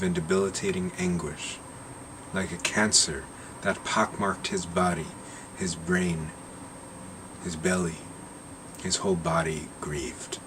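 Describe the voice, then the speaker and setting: A man with a deep, low voice reads a short story aloud. His delivery is slow and measured, and he lingers over each phrase. A faint hum of air conditioning sits behind him. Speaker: narrator reading his own short story.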